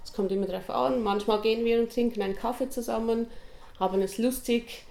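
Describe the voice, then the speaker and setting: A woman's voice: fairly high pitch, fairly even intonation, fairly quick, creaky, darkish tone, roomy sound, a little hiss or hum. A woman describing her conversation group in a chatty way. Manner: chatty, with fillers.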